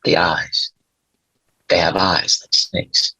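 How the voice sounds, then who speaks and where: A man playing an awkward, earnest driver in a screenplay table read, speaking in a halting, gentle, explanatory way. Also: low-pitched, level tone, slightly rough, heavily acted. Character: awkward, earnest male driver Chaz, kindly conspiracy believer. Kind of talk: table read of a film script. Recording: dull, slightly muffled audio.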